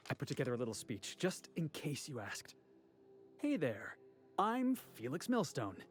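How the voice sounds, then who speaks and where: An actor playing an eager, cocky young dock worker in a video game, earnest and a bit stiltedly formal. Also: male voice, fairly high pitch, huge pitch swings, moderate pace, over music. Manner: earnest, stiltedly formal when reciting, boastful.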